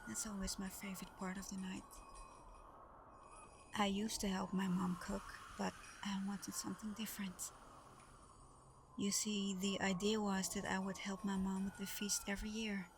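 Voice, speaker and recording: A woman acts out Santa's adopted daughter in an ASMR audio roleplay. She is tender and a bit shy, speaking softly and often in a near whisper. Her medium-pitched voice stays fairly flat in melody, with clear ambient noise behind it. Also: moderate pace, natural voice. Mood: warm, reminiscing. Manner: soft, tender, hesitant, partly whispered. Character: Santa's gentle adopted adult daughter, shy, affectionate sleigh driver. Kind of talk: intimate ASMR roleplay, soft-spoken and breathy. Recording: clearly noisy background ambience.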